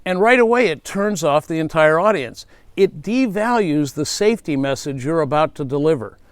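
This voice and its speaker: Male safety speaker in his fifties, energetic motivational delivery, smooth high voice, dry close sound. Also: normal speed, dry recording. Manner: emphatic, energetic, repeats his key rule. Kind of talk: motivational speaking tip to camera.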